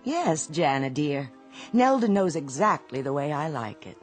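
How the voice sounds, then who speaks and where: A woman's voice: low-pitched, sing-song, a little slow, soft background music. An actress playing a doting, contented mother in an audio drama, cooing genteel endearments. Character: doting, contented mother in a too-perfect household.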